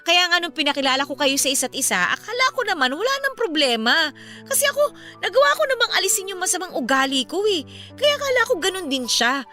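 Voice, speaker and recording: A young Filipino woman, high and very animated, confides like a candid college friend in a radio drama. Her bright, emphatic delivery sits over music. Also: fast, exaggerated voice. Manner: expressive, conversational, emphatic. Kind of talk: Tagalog radio drama dialogue. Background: music under the voice.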